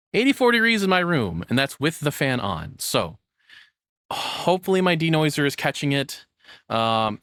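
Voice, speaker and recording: A man streaming casually, with pitch that moves up and down a lot. He rambles in a nervous, self-deprecating way, and the recording is close and dry. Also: a bit bright, no echo. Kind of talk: casual livestream chat and reaction commentary. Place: hot home room.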